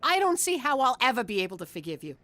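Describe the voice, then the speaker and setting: A woman's voice: a little high, big pitch swings, fast talker, fairly bright tone, dry recording. A woman voicing a furious, fed-up wife in a cartoon, cold and scolding. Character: furious, fed-up wife throwing her husband out.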